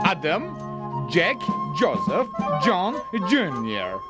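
Wealthy older cartoon gentleman, scheming, pompous, extremely varied intonation, music bed. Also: fairly high pitch. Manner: pompous, theatrical, drawn-out names. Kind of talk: Turkish cartoon dub. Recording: background music under the voice.

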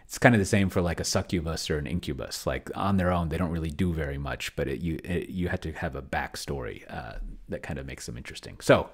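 A man's voice: very deep voice, fast talker, breathy edge. A middle-aged man thinking aloud in an unscripted walkthrough, rambling and trailing off mid-thought, speaking close to a desk microphone. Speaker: video host. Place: indoor room at home, desk setup. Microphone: desk microphone close to the mouth.

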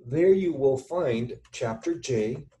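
A middle-aged man with a relaxed, mellow voice explains technical material like an instructor. He goes slowly and haltingly, thinking aloud as he works at his computer, with some keyboard typing around his words. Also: medium pitch, a little hiss or hum. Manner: halting, deliberate, thinking aloud while writing. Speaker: structural engineering instructor. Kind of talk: informal tutorial explanation over screen share. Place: indoors at a computer, webcam.

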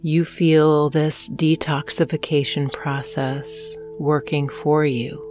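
A woman narrating a guided hypnosis meditation in a soothing, gentle manner, leaving long pauses between phrases. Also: deep voice, flat delivery. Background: soft meditation music with singing bowls.

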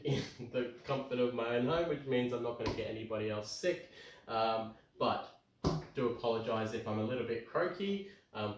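Australian man, 30s, croaky, chatty home cook, recorded from across a roomy kitchen. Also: medium pitch. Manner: chatty, upbeat, lots of ums. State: croaky from tonsillitis. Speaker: home cooking show host. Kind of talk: casual cooking demonstration. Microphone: camera across the kitchen. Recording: roomy kitchen sound, far from mic.

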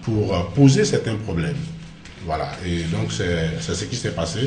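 Lively Ivorian man in roomy studio. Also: low voice, normal speed, soft background music. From Ivory Coast. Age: 40s. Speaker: interview guest, writer. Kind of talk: studio interview conversation. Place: small indoor TV studio. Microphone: shared desk microphone. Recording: roomy, echoey studio sound.

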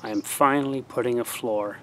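A man's voice: mid-range voice, fairly even intonation, a little fast, sharp tone, a little hiss or hum. A young man from Canada with a stuffy cold voice, hosting a casual do-it-yourself vlog and explaining each step to the camera from an outdoor driveway. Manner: chatty, explains steps to the camera. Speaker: fishing channel host. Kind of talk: casual DIY how-to vlog. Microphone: camera mic, talking to the lens up close.

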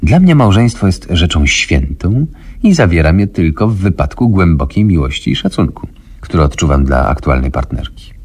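A man's voice: low voice, sing-song, moderate pace, darkish tone, slightly rough, heavily acted. A man playing a stuffy, moralizing male doctor in a radio comedy, with a pompous mentoring manner.

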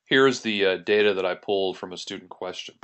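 A man's voice: low voice, fairly quick, a bit bright, clean tone. A man working as an accounting instructor, narrating a tutorial in a methodical, step-by-step way. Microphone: voice-over on a screen recording.

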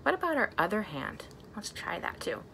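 A woman's voice: mid-range voice, sing-song, normal speed, gravelly, sharp tone, a little hiss or hum, rough recording. A young adult woman presenting a storytime for small children as a librarian, speaking to them in simple, encouraging phrases with lots of questions.

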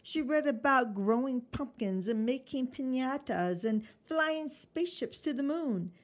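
A woman reading a picture book aloud as an expressive storyteller for children. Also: high voice, a little slow.

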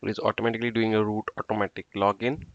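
A man's voice: on the low side, little pitch movement, rapid-fire, no echo. A man narrating a screen-recorded networking tutorial, talking through each step as he works, with fillers. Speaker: network engineer instructor. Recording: dull dry lo-fi screen recording.

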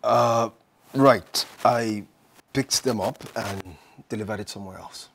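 An actor playing a harried young husband with a Nigerian accent, hesitant and repeating himself in a film drama. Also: male voice, on the low side, measured pace, vocal fry, fairly bright tone, a little hiss or hum. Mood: meek, evasive. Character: harried, placating young husband under family pressure.

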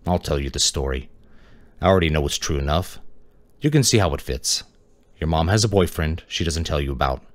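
A man doing a deep, calm big detective. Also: no echo, clean studio sound. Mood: grave. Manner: calm, unhurried, assured. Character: huge older male detective, deep and calm.

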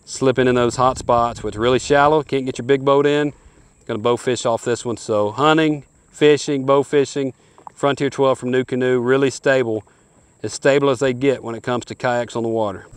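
A man's voice: mid-range voice, clear, resonant tone. A man in his forties hosting a hunting show, giving an upbeat, folksy walkthrough of kayaks outdoors by a lake. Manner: upbeat, folksy salesman-like patter. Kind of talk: product review walkthrough. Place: outdoors on a lakeshore.

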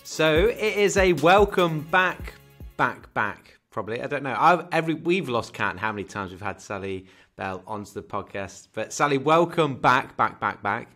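A man's voice: medium pitch, animated, normal speed, a bit bright, faint music behind. A man in his thirties with a Scouse accent, co-hosting a podcast in casual chat, joking and rambling with lots of fillers. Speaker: podcast co-host. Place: home room, indoors. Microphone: desk condenser mic on video call.

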